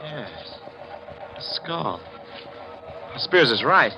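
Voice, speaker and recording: An actor playing a confident, dismissive estate-owning husband in a 1940s radio drama. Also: male voice, fairly high pitch, very animated, drawn-out words, over music, exaggerated voice. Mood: brisk, dismissive. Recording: noisy, dull 1940s radio recording.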